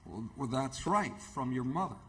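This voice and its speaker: Actor as a careworn, emphatic hospital superintendent. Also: male voice, mid-range voice, animated, a little hiss or hum, lightly acted. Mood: unnerved. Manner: halting, emphatic, theatrical. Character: rattled, careworn, earnest male hospital superintendent. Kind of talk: radio theatre acting.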